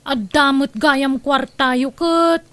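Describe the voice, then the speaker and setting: An actress plays a blunt woman in a radio-style family drama. Her high voice is emphatic, and she has an Ilocano Filipino accent. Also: slow, fairly bright tone, dry recording. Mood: demanding.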